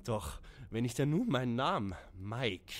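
Man playing crazed male fan, menacing, theatrical, slow, swooping intonation, parody radio play. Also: mid-range voice, very animated, slight background noise. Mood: aggrieved, manic. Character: obsessed deranged male fan in a wheelchair, menacing. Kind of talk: audio drama parody acting.

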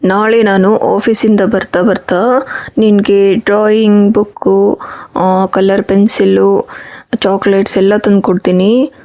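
A woman voicing a patient, soothing father in a children's cartoon story, speaking in a gentle, explanatory, coaxing way. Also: medium pitch, flat delivery, slow. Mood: reassuring.

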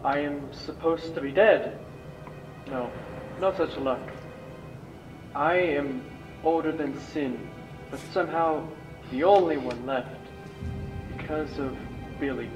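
A man playing a weary, guilt-ridden old scientist in an amateur video game dub, speaking gravely and solemnly. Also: on the high side, takes it slowly, long pauses. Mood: weary, rueful. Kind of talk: dramatic video game monologue, amateur voice acting. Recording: echoey, distant voice over game music.

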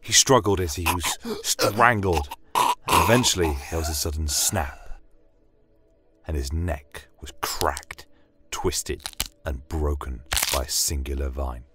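A man giving a solemn, measured, scripted dramatic narration of a fantasy tale in the first person. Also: very deep voice, loud music behind the voice.

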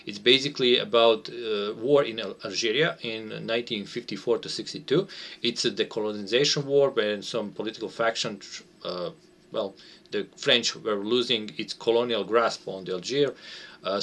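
A man's voice: on the low side, fairly bright tone. A man, an English speaker, giving a casual, unscripted game walkthrough and hesitantly searching for words. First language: not English. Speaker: board game designer playtesting a game. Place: small room at home, indoors.

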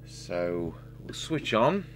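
A middle-aged man giving a casual, halting repair demonstration, thinking aloud as a technician at work. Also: sits low. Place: indoor workbench. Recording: steady hum and background noise.

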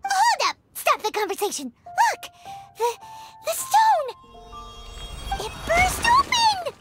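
A woman voicing a tiny, childlike floating fairy companion in a video game, excitable and curious, with a squeaky voice. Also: slow, full character voice. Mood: startled. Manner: excitable, exclamatory. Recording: loud music behind the voice.

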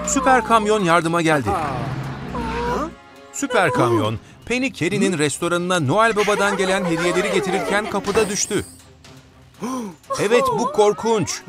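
A man narrating a children's cartoon in a cheerful, encouraging way, talking to the vehicles he helps. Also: high-pitched. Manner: encouraging, talks to the truck and little cars. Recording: with loud background music.

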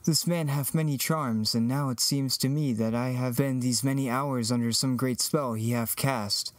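A man's voice: medium pitch, steady tone, moderate pace, dry recording, natural voice. A man playing an eager but uncertain young farm boy in an earnest, theatrical Shakespearean reading. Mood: reluctant.

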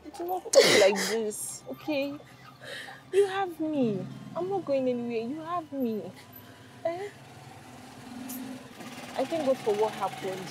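A woman's voice: high-pitched, animated, slow, pauses often, background noise. An actress playing a young woman consoling her friend with soothing, repeated reassurance. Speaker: comforting friend. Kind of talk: film drama acting.

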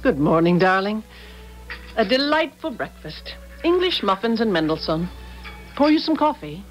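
An actress playing a genteel, composed, inquisitive well-to-do wife in a 1970s radio drama. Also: female voice, medium pitch, sing-song, moderate pace, soft background music, lightly acted, rough recording. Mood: poised, probing.

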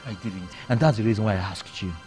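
A man's voice: on the low side, fast, a little mellow, close to an everyday voice. A man plays a husband caught out after accusing his wife. He sounds defensive, and music plays beneath his voice. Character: suspicious husband. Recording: music underneath.

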